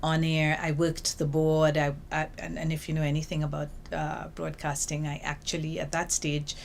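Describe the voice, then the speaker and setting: Older woman, Trinidadian accent, low voice, lively storyteller, slight background hiss. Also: normal speed, gravelly. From Trinidad and Tobago. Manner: lively storytelling, acts out quoted remarks. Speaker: interviewee, UN information officer. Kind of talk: reflective interview answers. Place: small office indoors.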